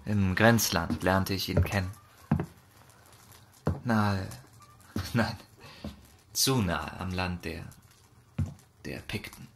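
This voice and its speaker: Guy playing a reticent troubled man in a fantasy audio play, lowish hesitant voice, noisy behind. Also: very slow, creaky, long pauses, exaggerated voice. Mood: reluctant. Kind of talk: fantasy audio drama acting.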